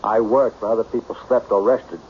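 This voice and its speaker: An actor playing a lonely self-made millionaire, blunt, brooding and self-pitying, in an old-time radio drama style. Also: male voice, on the low side, fairly even intonation, fairly quick, natural voice. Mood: bitter, dejected. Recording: dull, noisy old radio broadcast.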